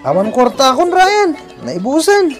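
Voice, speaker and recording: A woman's voice: high voice, wildly swooping pitch, normal speed, faint music behind. A woman playing a mischievous schoolboy sidekick in a radio drama, with a teasing, coaxing manner. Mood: excited, needy.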